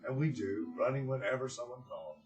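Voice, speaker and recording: A man reading aloud and hosting for children, with expressive, drawn-out phrasing and a gentle, encouraging way of addressing them. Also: medium pitch, lo-fi sound, over music. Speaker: children's story reader and host.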